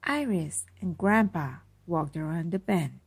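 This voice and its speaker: A woman reading a children's storybook aloud as a mother would to her child, with expressive narration. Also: lowish voice, measured pace, vocal fry, husky.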